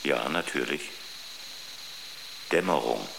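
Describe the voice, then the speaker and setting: A man voicing an obedient spaceship computer in a radio drama, speaking slowly and deliberately with a creaky voice. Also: extremely low pitch, expressive, background noise, exaggerated voice. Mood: measured, obliging.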